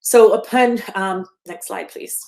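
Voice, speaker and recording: A woman's voice: medium pitch, unhurried, gravelly, a little mellow, rough recording. A woman in her fifties from Barbados, giving a remote slide presentation with frequent ums and self-corrections. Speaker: guest speaker. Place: speaking remotely from home or office.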